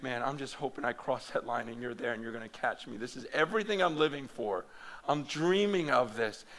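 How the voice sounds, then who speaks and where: Male pastor, bright forceful voice, fairly high, animated chatty sermon delivery. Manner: animated, loose and conversational, with many fillers and restarts. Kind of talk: conference sermon. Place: large hall or meeting room before an audience.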